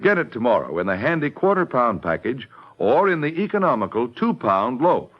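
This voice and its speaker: Lively male vintage radio announcer, muffled sound. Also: medium pitch, normal speed. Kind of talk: 1950s radio announcing and sponsor pitch.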